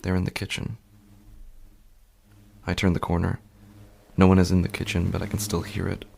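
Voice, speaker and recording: A man playing an uneasy, sleepless husband in a horror podcast, telling his story slowly and haltingly in a hushed, gravelly, husky voice. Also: deep voice, completely flat delivery, a little hiss or hum. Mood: tense, bewildered. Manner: hushed, deliberate, halting with long pauses. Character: uneasy, sleepless husband haunted by faint night sounds. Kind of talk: slow first-person horror podcast narration.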